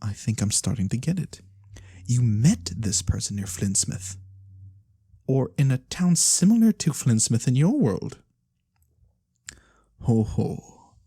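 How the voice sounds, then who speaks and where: A performer voicing a mysterious, courteous fantasy lorekeeper in a soft-spoken ASMR roleplay, breathy and theatrical with knowing pauses. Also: mid-range voice, no room sound, clean studio sound, exaggerated voice. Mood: intrigued. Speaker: storyteller character.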